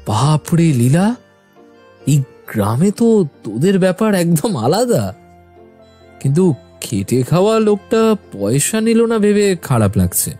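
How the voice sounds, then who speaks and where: A man acting an affectionate, excitable younger brother from the city in a horror audio drama. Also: a little high, a little slow, long pauses, soft background music. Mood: amazed, uneasy. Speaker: voice actor.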